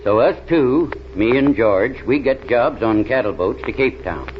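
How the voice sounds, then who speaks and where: A man's voice: mid-range voice, animated, unhurried, natural voice. Folksy backwoods adventurer played by a man, drawl, plain-spoken yarn-spinner, dull hissy vintage radio. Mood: recounting adventures. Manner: drawling, folksy, ungrammatical speech. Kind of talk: 1930s radio serial acting. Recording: dull, noisy old radio recording.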